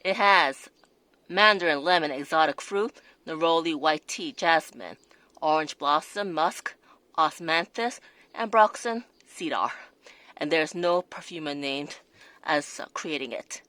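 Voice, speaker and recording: A woman's voice: deep voice, lively intonation, a little slow, pushed and bright, no room sound. A woman with a non-native accent reviewing perfume in a casual, hesitant way, full of fillers and restarts. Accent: non-native English accent. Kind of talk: casual product review vlog.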